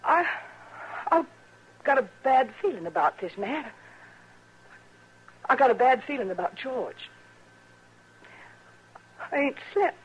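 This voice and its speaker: An actress playing a worried, stoic ranch wife with a rural western American accent, plainspoken and halting, in 1950s radio drama style. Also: female voice, high voice, very animated, slightly rough, heavily acted. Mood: anxious, holding back. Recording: muffled vintage radio recording.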